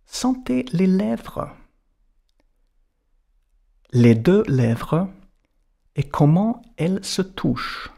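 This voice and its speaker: A man leads a guided relaxation at an extremely slow pace, in short, separated phrases. His voice is fairly high, with a mellow, soft-edged tone and a gravelly creak. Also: sing-song. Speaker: yoga and meditation teacher.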